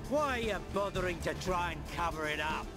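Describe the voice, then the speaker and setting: A man's voice: high voice, lively intonation, a little fast. A man playing an arrogant video game villain, sneering and taunting as he gloats over weaker foes. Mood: gloating, scornful. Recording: loud music behind.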